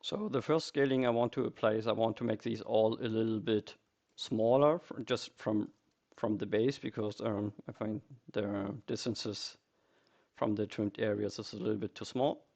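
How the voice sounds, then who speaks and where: A man narrating a software tutorial step by step in a methodical, explanatory way. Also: fairly low pitch, steady tone, moderate pace, gravelly.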